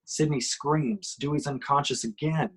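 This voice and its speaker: A man's voice: on the high side, fairly even intonation, unhurried, husky. A man giving emphatic, suspenseful scripted narration as he reads action descriptions at an amateur table read.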